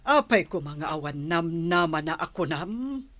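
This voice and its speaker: A reproachful old grandmother in a radio drama. She speaks very slowly, and the recording is close and dry. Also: on the low side, animated, fairly bright tone, no echo. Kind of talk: Ilocano radio comedy-drama acting.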